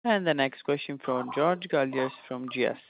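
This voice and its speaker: A man's voice: medium pitch, moderate pace, creaky. A man working as a conference call operator, making short scripted announcements. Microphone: conference call line. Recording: dull, dry phone line.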